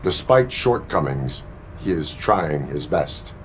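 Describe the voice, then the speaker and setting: An actor playing a stern, dutiful cyborg policeman in a video game, speaking in terse, flat, deliberate sentences. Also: very deep voice, slight background noise, exaggerated voice. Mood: stern, businesslike.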